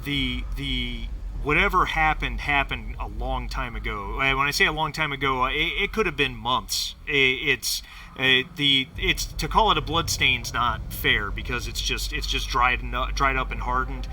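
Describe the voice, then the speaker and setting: A man runs a tabletop role-playing game as game master, in a bright, forward voice. He feels his way through descriptions, pausing and restarting as he paints each scene. The recording sounds off-mic with some background noise. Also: mid-range voice, far from the mic. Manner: halting, descriptive.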